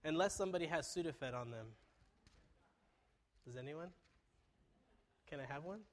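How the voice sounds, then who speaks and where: A male pastor with a stuffy, nasal, congested voice delivers a sermon, mixing earnest preaching with self-deprecating jokes. His voice sits on the higher side for a man. The sound carries a long reverb from the church hall. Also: moderate pace, a little hiss or hum. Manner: conversational, self-deprecating humor. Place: church sanctuary.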